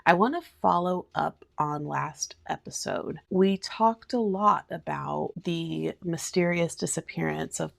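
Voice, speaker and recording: A woman in her thirties from the United States chats through a solo podcast episode from her living room couch, laughing often and keeping an upbeat tone. Her voice sits low and gravelly, with a warm, mellow color. She speaks into her phone's voice memos, and the sound is close and dry, with no room echo. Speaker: podcast host. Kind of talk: casual solo podcast chat. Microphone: phone voice memo.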